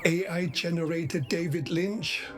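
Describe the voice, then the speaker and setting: Low, slow woman announcing a radio play. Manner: clipped, portentous announcements. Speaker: narrator. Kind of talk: dramatic scripted radio play narration. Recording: loud music behind the voice.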